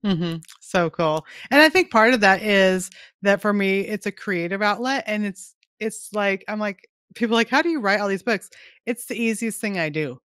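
A woman's voice: medium pitch, moderate pace, smooth, no echo, studio quality. Encouraging middle-aged woman host. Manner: warm, encouraging, affirming. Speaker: podcast host. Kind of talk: casual interview chat. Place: home office with bookshelves. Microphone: headset with desk microphone, video call.

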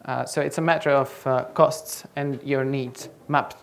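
A man's voice: mid-range voice, steady tone, measured pace. A young man from the Czech Republic, speaking as a native Czech speaker, gives a tech talk on stage. He stops often to quiz the audience. His voice comes through a handheld mic in a large lecture hall. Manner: quizzing the audience. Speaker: conference speaker.

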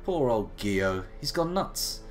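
A man in his thirties talks his way through a live game stream, his voice gravelly from a slight cold. He chats playfully with lively swings in pitch, drifting into jokey asides. Faint game music sits behind him. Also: slow pace. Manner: chatty, playful, wandering asides. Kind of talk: casual live game commentary.

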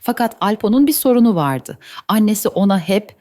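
A woman's voice: mid-range voice, animated, fairly quick, mellow, dry recording, studio quality. A woman narrating a scripted children's story as the storyteller. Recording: faint background noise.

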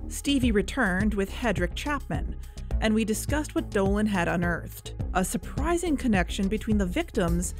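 An actress playing a headstrong amateur investigator in a fictional podcast, conversational and insistent. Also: female voice, medium pitch, animated, moderate pace, music in the background, natural voice. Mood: earnest, intrigued. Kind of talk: fictional podcast narration and dialogue.

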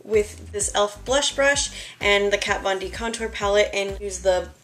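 A young woman talks through her makeup routine with a slightly raspy, worn-out voice. Her delivery is chatty and self-deprecating, with little asides as she applies products. She is recorded indoors in her bedroom on a camera close in front of her, with faint music underneath. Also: medium pitch, measured pace, fairly bright tone. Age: young adult. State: tired. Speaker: beauty vlogger. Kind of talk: casual makeup tutorial. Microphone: camera in front at close range.

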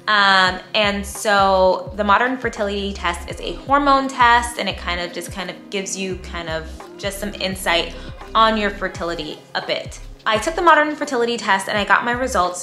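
A woman, chatting in a bubbly, talkative way as she vlogs to camera in a bright room at home. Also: mid-range voice, roomy sound. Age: 30s. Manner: bubbly, chatty, talks with her hands. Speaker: lifestyle vlogger. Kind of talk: casual talk to camera.

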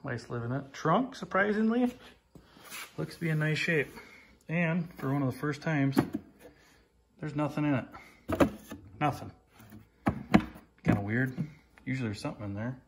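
A man's voice: a little high, animated, normal speed, pauses often, very noisy. Plain-spoken man with a phone in the garage. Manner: plain-spoken, rambling, repeats "like I say". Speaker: hobbyist restoring an old snowmobile. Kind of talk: casual walkaround commentary. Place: garage workshop. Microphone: handheld phone camera.

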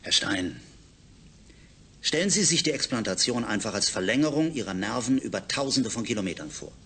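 An actor playing a clinical scientist in a radio drama, explaining technical matters precisely and instructively. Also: male voice, on the low side, very animated, brisk, a bit bright, slightly rough, exaggerated voice. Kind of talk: German science fiction radio drama acting.

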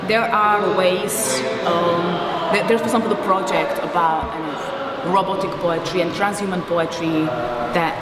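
A woman's voice: on the low side, fairly even intonation, measured pace, hardly any pauses, over a noisy crowd. A woman in her thirties giving a casual interview as a guest, rambling and searching for words. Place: large concrete gallery hall indoors. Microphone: distant camera microphone. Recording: echoey hall, distant mic, loud background noise.

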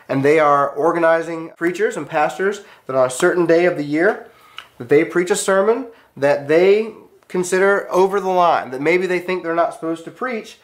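An American man teaching a class, giving a storytelling lecture in an earnest, emphatic manner with short declarative sentences. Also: a little high.

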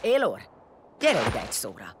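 A woman's voice: very deep voice, huge pitch swings, noisy background, heavily acted. A woman playing a blunt, commanding warrior leader in an audio drama, vengeful and foul-mouthed. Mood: curt, commanding.

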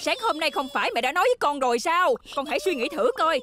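A woman voicing an exasperated, scolding mother in an animated cartoon dub style. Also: high-pitched, fast talker, background noise.

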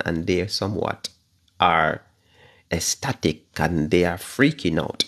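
Jamaican pastor, 50s, slow and fervent, husky low voice, livestream sermon from home. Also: male voice. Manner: fervent, repeats phrases, drawn-out stressed words. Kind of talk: livestream Bible study preaching. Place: living room at home, indoors. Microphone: phone camera at arm's length.

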